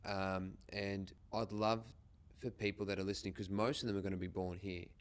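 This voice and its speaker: A man's voice: low voice, little pitch movement, moderate pace, smooth. A man with an Australian accent, hosting a podcast and interviewing in an informal, casual way. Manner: informal, occasional swearing.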